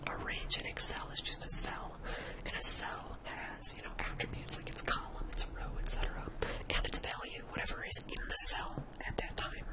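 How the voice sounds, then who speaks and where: A person whispering an informal ASMR coding tutorial in a breathy, unvoiced voice, hesitating and thinking aloud. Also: normal speed, few pauses, poor audio. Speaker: tutorial host.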